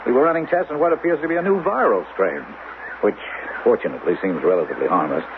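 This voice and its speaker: An American actor playing a protective, persuasive doctor husband in a 1970s radio drama, measured but sometimes haltingly hesitant. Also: male voice, mid-range voice, lightly acted. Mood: calm, questioning. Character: reasoning, protective doctor husband hiding a dangerous secret. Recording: lo-fi radio broadcast with hiss.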